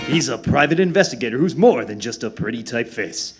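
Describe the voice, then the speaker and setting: A man's voice: mid-range voice, animated, a little fast, music in the background, close to an everyday voice. A man playing a grandly dramatic old-time radio announcer, reading scripted narration with theatrical weight. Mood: suave, dramatic.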